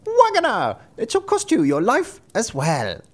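A storyteller voicing a small vengeful sparrow with a squeaky, chirpy, taunting delivery. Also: huge pitch swings, a little slow. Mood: menacing, taunting.

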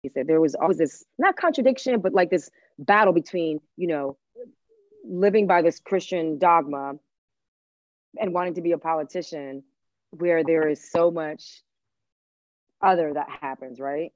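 A woman's voice: low voice, normal speed, darkish tone, no echo, highs cut off. A woman from Cuba who grew up in Washington D.C., speaking casually and emphatically as an interview guest. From born in Cuba, grew up in Washington D.C.

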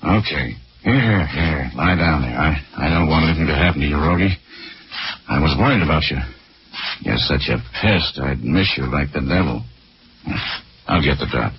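A man playing a gruff, blunt but fond police lieutenant in 1940s radio acting style. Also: very deep voice, lively intonation, normal speed. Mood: grudgingly fond. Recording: muffled noisy 1940s radio broadcast.

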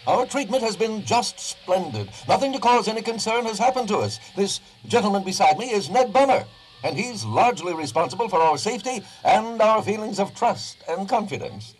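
A man's voice: sits high, expressive, normal speed, faint music behind. An actor playing a fussy, literal-minded male alien in a comic science fiction radio play. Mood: pleased, formal. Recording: noisy old radio drama recording.

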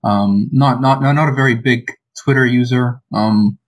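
A man's voice: mid-range voice, steady tone, moderate pace. A man speaking as a podcast guest, hesitant and full of ums and restarts. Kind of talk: casual podcast conversation.

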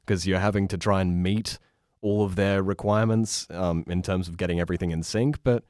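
A man's voice: sits low, steady tone, brisk, darkish tone, dry recording, studio quality. A man talking informally as a guest in a casual, conversational podcast review. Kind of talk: casual episode review chat.

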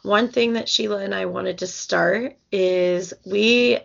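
A young woman chatting slowly on a casual podcast, her voice gravelly and starting to go. She rambles, circling back with fillers and restarts. The recording is dull and lo-fi. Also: mid-range voice, fairly even intonation, background noise. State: losing her voice. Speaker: podcast co-host. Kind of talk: casual book podcast chat.

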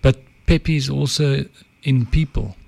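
A middle-aged South African man with an Afrikaans accent, a podcast guest talking calmly and reflectively about his life. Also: mid-range voice, unhurried, gravelly. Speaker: guest, advertising entrepreneur and author. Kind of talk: reflective interview talk.

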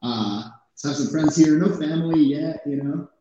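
A man's voice: on the high side, normal speed, heavy reverb, dull audio, background noise. A man in his twenties from New Jersey, a podcast guest talking casually and laughing often, with lots of fillers. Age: 20s. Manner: laid-back, laughs often, many fillers. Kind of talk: casual chat.

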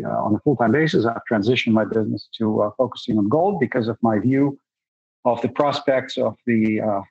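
A husky-voiced man in his sixties talks with a Russian accent, working through his explanation in a measured way, pausing on fillers and restarting phrases. His voice comes through a somewhat muffled, lo-fi line. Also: mid-range voice, darkish tone. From Soviet Union. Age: 60s. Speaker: guest, gold investor. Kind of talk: podcast interview.

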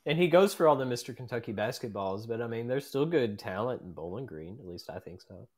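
A man co-hosting a casual sports radio show in a studio, tossing in quick, offhand opinions. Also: mid-range voice, expressive, a little mellow. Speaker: radio co-host.

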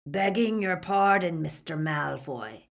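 A woman voicing a rough, mercenary male thug in an audiobook, with a growling voice and coarse manner. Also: low-pitched, animated, slow pace, full character voice. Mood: insistent, greedy. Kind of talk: audiobook character acting.

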